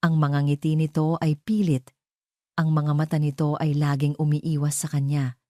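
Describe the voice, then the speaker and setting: A woman reading a scripted romance drama as its narrator, in a measured, solemn storytelling manner. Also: low voice, level tone, warm tone, dry recording, clean studio sound.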